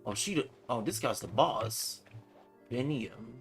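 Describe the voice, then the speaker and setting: A man's voice: medium pitch, expressive, a little slow, fairly bright tone, soft background music. A man giving casual, laid-back gameplay commentary as a live streamer, muttering his reactions.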